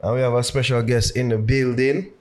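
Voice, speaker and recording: A man hosting a podcast in a middle-pitched voice, relaxed and slangy. He opens and steers the chat with easy greetings and short follow-up questions. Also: fairly quick. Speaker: podcast host. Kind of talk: casual interview.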